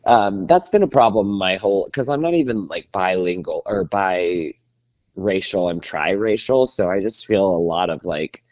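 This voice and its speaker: A man with a fairly low voice chats casually as a podcast co-host. He talks in an animated, rambling way and breaks into laughter often. The sound is close and dry. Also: measured pace, no echo. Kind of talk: casual chat.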